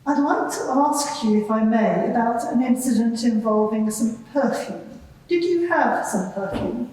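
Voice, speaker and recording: A courteous female advocate, acted by a woman, questions a witness in measured, formal phrases. Her voice sits a little high and comes from a distance in a very echoey courtroom. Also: expressive, a little mellow. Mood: probing. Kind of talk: dramatised courtroom examination. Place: large courtroom.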